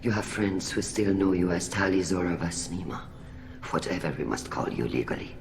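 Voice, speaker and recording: A woman playing a solemn, caring middle-aged alien admiral in a video game, with a very deep husky voice, formal measured speech and a light helmet filter. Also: lively intonation. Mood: quietly supportive. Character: older female alien admiral, solemn, caring family friend. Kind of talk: video game cutscene acting. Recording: echoey hall, noisy ambience behind.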